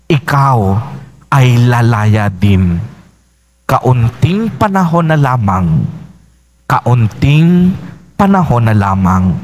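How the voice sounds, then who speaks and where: Priest, 30s, slow singsong homily at a church pulpit mic, voice a touch high. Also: male voice. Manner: slow, lilting preaching with repeated refrains. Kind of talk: homily at Mass. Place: church sanctuary, indoors. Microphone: mic on a stand at the pulpit.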